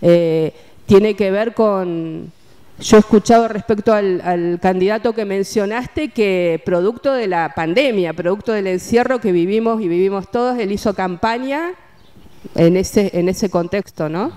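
A woman in her sixties with an Argentine accent speaks in a clear, resonant voice of medium pitch. She answers as an interview guest, explaining things reflectively and checking in with little tag questions. She is outdoors, talking into a handheld microphone. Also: measured pace, dark tone. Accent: Argentine Spanish. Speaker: interview guest, mental health worker. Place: outdoors at a table by a street.

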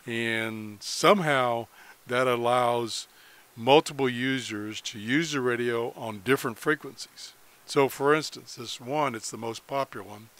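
Man giving a halting casual walkthrough. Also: medium pitch, fairly bright tone. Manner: halting, pauses mid-sentence, often clears throat. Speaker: hobbyist presenter of a shortwave radio tutorial. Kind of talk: casual unscripted screen-capture walkthrough.

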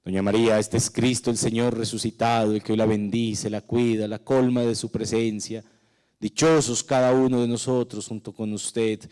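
Middle-aged priest with a smooth, low voice. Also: male voice, warm tone. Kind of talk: liturgical prayer and blessing at Mass. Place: indoor chapel, at the altar. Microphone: handheld microphone.

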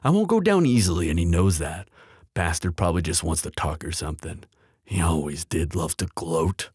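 A man playing a protective, confident bodyguard and lover in an intimate boyfriend roleplay, firm and reassuring, with a husky voice. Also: low-pitched, lively intonation, normal speed, darkish tone, dry recording, studio quality, heavily acted. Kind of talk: ASMR boyfriend audio roleplay.

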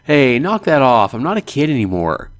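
A man's voice: mid-range voice, big pitch swings, moderate pace, voice pushed up, lightly acted. A man voicing a glum teenage schoolboy in a visual novel, sulky, grumbling and dejected. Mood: irritated.

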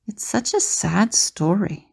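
A woman's voice: medium pitch, slow pace, gravelly, a little mellow, husky, full character voice. A woman playing a confident, resourceful female adventurer in an audio drama. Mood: eager.

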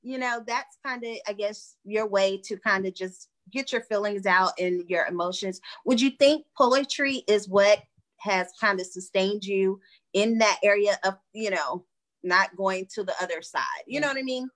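A woman's voice: mid-range voice, normal speed, a bit bright. Chatty woman hosting a video interview. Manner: chatty, encouraging, laughs along with guest. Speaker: host. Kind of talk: casual video call interview. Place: living room with fireplace, indoors. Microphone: video call webcam.

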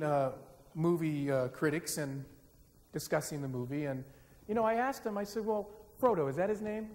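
A middle-aged man gives a public lecture on stage in a large dark auditorium, speaking into a podium microphone in a conversational, self-deprecating way. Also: on the high side. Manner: conversational, self-deprecating, gets the audience singing. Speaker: forum speaker. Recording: reverberant hall with faint hiss. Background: live audience.

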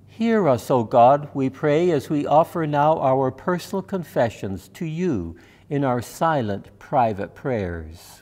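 A man in his seventies reading liturgy and prayers as a minister in a measured, formal, reverent manner. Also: mid-range voice, lively intonation, darkish tone. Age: 70s. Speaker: minister leading worship. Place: church sanctuary, indoors.

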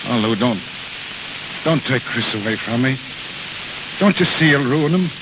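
A man's voice: medium pitch, expressive, normal speed, lightly acted. An actor playing an embittered, possessive middle-aged carnival father in a 1930s radio drama. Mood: anguished. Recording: hissy, dull 1930s radio broadcast.

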